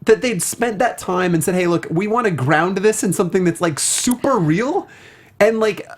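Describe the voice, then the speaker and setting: A co-host of a casual review show talks at a middle pitch. The delivery is chatty and emphatic, full of slangy asides and mock quotes. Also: animated, moderate pace.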